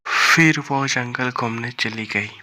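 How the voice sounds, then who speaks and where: A man's voice: mid-range voice, slow pace, bright, forceful tone. A man narrating a scripted cartoon story as its storyteller.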